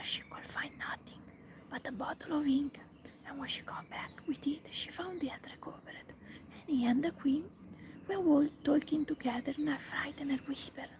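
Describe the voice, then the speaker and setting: Woman narrator, whispered ASMR storybook reading, high-pitched. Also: fairly even intonation, moderate pace. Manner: soft unvoiced whisper throughout.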